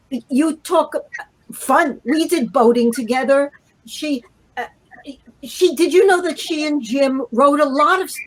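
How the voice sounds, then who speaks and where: An older woman, a playwright and guest, reminiscing off the cuff in a halting way that circles back over phrases. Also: high-pitched, low-quality recording. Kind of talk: spontaneous reminiscence.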